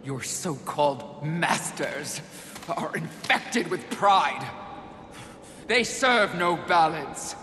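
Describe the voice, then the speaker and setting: A haughty, scornful demon as a video game villain, speaking slowly and grandly. The persuasive voice carries through an echoing hall with faint noise behind it. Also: female voice, low-pitched, lively intonation, a bit bright, exaggerated voice.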